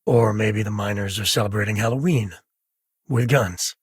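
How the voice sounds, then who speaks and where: An actor playing a wry, easygoing space cowboy explorer in a video game, a man with a husky, raspy voice and folksy, laid-back phrasing. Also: on the low side, normal speed, no echo, clean studio sound. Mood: wry, teasing. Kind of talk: video game character voice lines.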